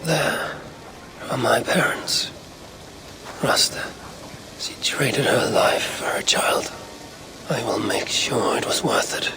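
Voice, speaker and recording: An actor playing a brooding Viking clan leader in a video game, measured and solemn, as if murmuring to himself. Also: male voice, mid-range voice, animated, vocal fry, whispered, low-quality recording. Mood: solemn, hushed. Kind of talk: video game cutscene acting.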